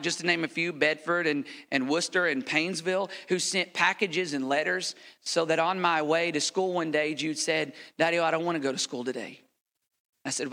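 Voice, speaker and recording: Passionate preacher in a reverberant hall. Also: male voice, on the high side. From United States. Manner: passionate, preacherly, swings from loud to hushed. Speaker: missionary pastor. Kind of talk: sermon with a prayer. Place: church sanctuary.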